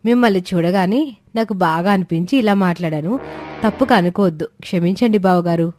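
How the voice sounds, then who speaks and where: Man putting on a high young woman's voice, forward, playful, storybook cousin. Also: brisk, a little mellow, soft background music, lightly acted. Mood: apologetic.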